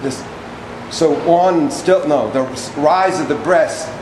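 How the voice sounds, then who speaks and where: A man playing a servile, wordy orator in a stage drama, reciting poetic text in a halting, deliberate, declamatory way. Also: fairly high pitch, noisy background. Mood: solemn, descriptive. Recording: echoey room with music behind.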